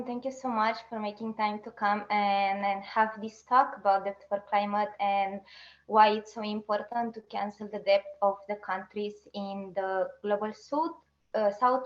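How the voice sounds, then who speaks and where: Romanian woman giving a halting talk. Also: mid-range voice, reverberant, lo-fi sound. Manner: halting, with restarts and fillers. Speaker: activist speaker. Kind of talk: online talk.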